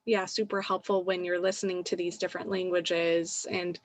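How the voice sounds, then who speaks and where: A woman speaking casually in a group discussion, thoughtful and hesitant, pausing as she forms her suggestion. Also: fairly low pitch, level tone, no echo. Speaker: meeting participant.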